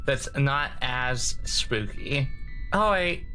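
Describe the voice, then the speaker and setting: A man's voice: mid-range voice, animated, very slow, pushed and bright, faint music behind. A man playing a tabletop game with casual, jokey, rambling role-play banter in a folksy manner.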